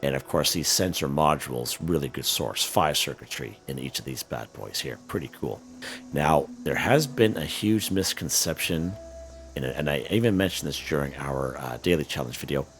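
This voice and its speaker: A man with a hoarse, worn voice, casually talking viewers through a game, full of fillers and "okay?" check-ins. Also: extremely low pitch, expressive, moderate pace, fairly bright tone, faint music behind. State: hoarse, losing his voice. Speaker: gaming channel host. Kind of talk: casual gameplay walkthrough commentary.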